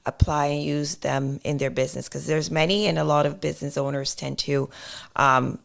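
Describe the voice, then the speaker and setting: A woman explaining accounting on a podcast, patiently walking through step-by-step examples with many fillers, her voice slightly hoarse. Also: low voice, fairly even intonation, normal speed. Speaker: accountant guest.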